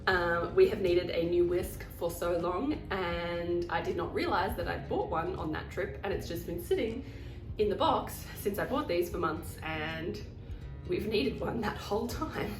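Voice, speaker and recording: A woman's voice: lowish voice, a little slow, reverberant, distant mic, music in the background, low-quality recording. A woman with a cold and a nasal voice, chatting casually about her shopping haul, rambling and poking fun at herself. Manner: chatty and rambling, self-deprecating. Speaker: vlogger.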